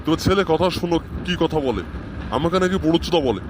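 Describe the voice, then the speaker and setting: A man's voice: fairly high pitch, lively intonation, fast, noisy background, close to an everyday voice. A man voicing an irate, blustering, grumbling village father in a comedy cartoon. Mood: furious.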